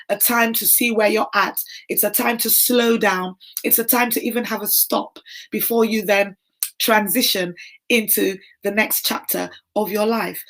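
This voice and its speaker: Hoarse fifties woman, warm encouraging livestream host, chatty. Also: mid-range voice. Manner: warm encouraging, greets viewers by name. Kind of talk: casual encouraging livestream chat.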